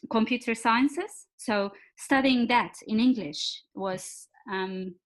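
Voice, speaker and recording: Woman, fairly high voice. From Turkey. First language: Turkish. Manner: thoughtful, frequent filler pauses. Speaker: guest, executive coach. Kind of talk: podcast interview.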